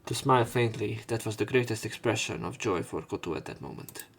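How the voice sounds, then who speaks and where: A man's voice: on the low side, a little fast, breathy edge. A man narrating a visual novel as a let's play, reading the story text aloud.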